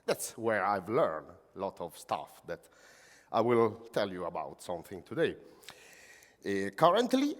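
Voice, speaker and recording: An Italian-accented man speaks in a halting way, with many pauses and fillers, and his pitch rises and falls widely. His voice carries a long reverb from a large conference hall. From Italy. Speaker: conference speaker, technical coach. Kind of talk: conference talk.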